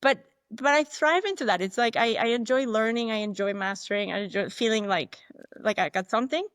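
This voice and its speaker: A woman's voice: fairly high pitch, brisk, a bit bright, dry recording. Candid woman guest, dry close sound. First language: not English. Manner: candid, thoughtful, frequent restarts and self-quotes. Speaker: interview guest. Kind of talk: reflective conversational storytelling.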